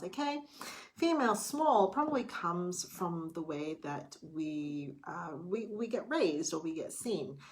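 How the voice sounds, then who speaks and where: A middle-aged woman talking candidly to camera in a casual late-night vlog, chatty and a little theatrical. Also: fairly low pitch. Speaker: vlogger.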